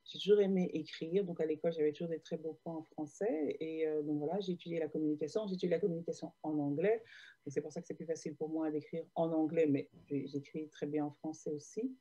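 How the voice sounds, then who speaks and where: A woman's voice: deep voice, fairly even intonation, quick pace, mellow, highs cut off. A woman from Belgium, a guest explaining her digital marketing work in a hesitant, filler-heavy way over a video call. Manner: hesitant, many fillers and restarts. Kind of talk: casual interview answers.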